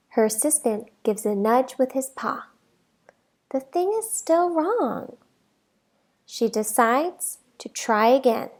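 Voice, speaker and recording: A woman reading a children's picture book aloud as a teacher, with expressive delivery and deliberate, emphatic phrasing for young listeners. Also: high-pitched.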